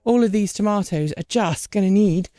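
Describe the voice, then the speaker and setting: A woman strolls through her garden and chats, unscripted and rambling, with plenty of ums along the way. She is sniffly from a lingering cold. Her voice is mid-pitched and sits close and dry on the mic. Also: moderate pace, warm tone, no room sound. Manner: chatty, rambling, with many ums. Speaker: vlog host. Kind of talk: casual unscripted garden vlog; scripted outro thanking supporters. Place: outdoor garden.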